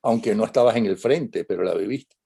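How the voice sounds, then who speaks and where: A man hosting an interview, asking slow, probing questions from off-mic. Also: on the low side, gravelly, mellow, breathy edge. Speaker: interviewer, host.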